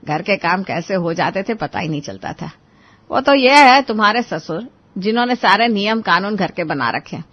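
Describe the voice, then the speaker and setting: A woman's voice: medium pitch, animated, normal speed, dull audio, natural voice. A woman voicing an anxious, strict mother-in-law in a moral-story drama, with an emphatic, admonishing manner. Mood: explaining, resigned.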